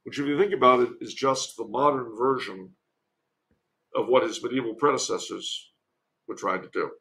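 A man talking conversationally as a learned guest commentator on history, in a discursive, halting way. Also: mid-range voice, sharp tone. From United States. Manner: discursive, erudite, halting delivery. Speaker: podcast guest, author and commentator.

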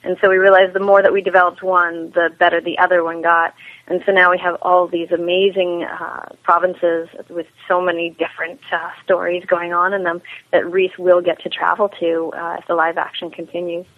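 A woman's voice: fairly low pitch, little pitch movement, moderate pace. A woman chatting casually as an interview guest about her creative work. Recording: thin telephone-like call audio.

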